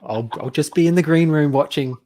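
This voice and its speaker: A man's voice: a little high, fairly quick, warm tone. A man casually hosting a live online event, speaking in an affable, somewhat hesitant and informal way. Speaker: summit host. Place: online video call.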